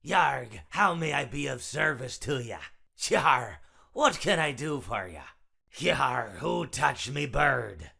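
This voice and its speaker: A woman playing a gruff, bossy animatronic pirate fox from a game, with a stage pirate accent and a gravelly voice. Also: a bass voice, sing-song, slow pace, pushed and bright, heavily acted. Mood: gruff service. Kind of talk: video game character monologue.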